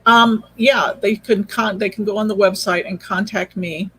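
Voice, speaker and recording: A woman's voice: mid-range voice, steady tone, normal speed, a bit bright, poor audio. A woman chatting casually as a podcast guest, explaining things in an informal, hesitant way. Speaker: podcast guest, audio drama creator. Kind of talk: casual interview chat. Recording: faint background noise.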